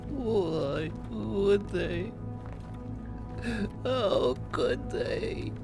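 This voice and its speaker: An earnest alien underdog hero in a game cinematic. His voice sits a bit high and moves expressively, slow and halting as he searches for words. Loud music runs behind him. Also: very animated, creaky, full character voice. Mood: shocked, anguished. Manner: halting, earnest, plain-spoken. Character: earnest, humble male alien ex-factory slave hero.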